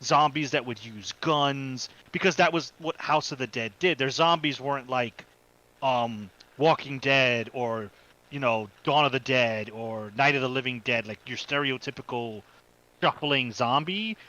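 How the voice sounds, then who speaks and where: A man's voice: medium pitch, unhurried, pushed and bright, highs cut off. A man co-hosting a podcast, giving casual, conversational explanations and commentary. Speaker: podcast co-host. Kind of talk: casual chat, movie commentary.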